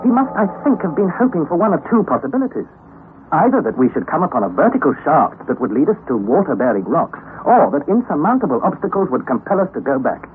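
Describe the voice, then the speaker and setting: Worried young nephew telling an adventure, formal and dramatic, really animated, tinny old radio sound. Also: male voice, a little high, quick pace, faint music behind. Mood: grim narration. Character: anxious young nephew narrating a perilous expedition. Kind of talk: old-time radio drama narration and acting.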